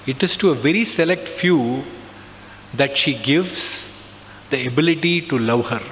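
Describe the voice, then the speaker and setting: A middle-aged man tells a reflective personal story, often pausing with "uh" and "so". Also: medium pitch, fairly bright tone. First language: not Hindi. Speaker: alumnus giving a talk. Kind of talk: personal spiritual talk. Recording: long reverb, faint background noise.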